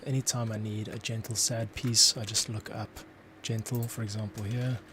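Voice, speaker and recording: A man's voice: lowish voice, flat delivery, normal speed, fairly bright tone, slight background noise. A man, a composer, talking through his scoring process as he works, thinking aloud. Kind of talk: thinking aloud tutorial commentary.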